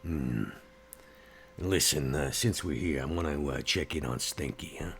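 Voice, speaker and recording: A man playing a gruff, laconic male vampire in a tabletop game, with a gravelly, husky voice and unhurried, old-time slangy talk. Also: very deep voice, heavily acted. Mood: laid-back.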